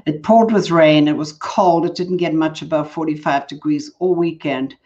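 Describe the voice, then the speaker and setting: Low-voiced South African woman, older. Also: fairly even intonation, normal speed, darkish tone, dull audio. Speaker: guest, leadership coach. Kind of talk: casual interview chat.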